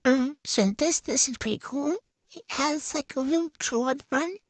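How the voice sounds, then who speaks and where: A woman's voice: sits high, moderate pace, no room sound. A woman giving a casual, chatty show-and-tell voice-over in a hesitant, unscripted way that tends to trail off. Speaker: vlogger.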